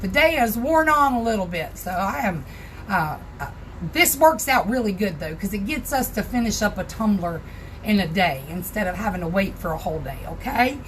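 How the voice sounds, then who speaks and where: A woman with a Southern US accent and a hoarse, raspy voice, chatting casually through a live crafting tutorial from her home craft room and greeting viewers by name. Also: medium pitch, lively intonation, moderate pace, slight background noise, rough recording.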